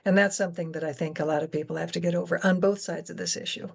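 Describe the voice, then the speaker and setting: A woman's voice: lowish voice, fairly even intonation, fast talker, creaky, a loud crowd. A woman in a conversational explanatory talk, speaking articulately and emphatically as she lays out her points. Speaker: guest moderator and science education advocate.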